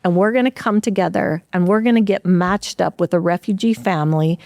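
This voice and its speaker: A woman's voice: lowish voice, brisk, darkish tone, no room sound. A woman talking as a guest on a podcast, explaining her nonprofit's work in an earnest, upbeat way with occasional restarts. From United States. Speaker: executive director of a refugee nonprofit, podcast guest. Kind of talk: conversational podcast interview.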